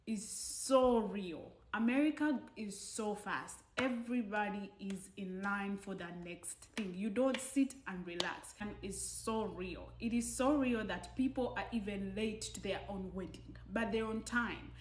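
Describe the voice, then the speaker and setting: A woman's voice: mid-range voice, a little slow, hardly any pauses, echoey room, slight background noise. A young woman from Uganda, talking earnestly to camera in a casual vlog and stressing her points with rhetorical questions. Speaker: vlogger.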